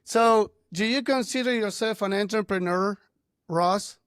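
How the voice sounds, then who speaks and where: A man hosting an interview, a native Spanish speaker who talks haltingly with many "right?" checks. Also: high voice, a bit bright, clean tone, no echo, studio quality. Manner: halting, frequent "right?" and "you know". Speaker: podcast host. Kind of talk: interview conversation.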